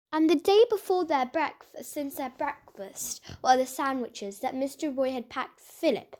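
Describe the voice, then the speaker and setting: High-voiced woman reading a children's story. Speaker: storybook reader. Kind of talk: children's novel read aloud.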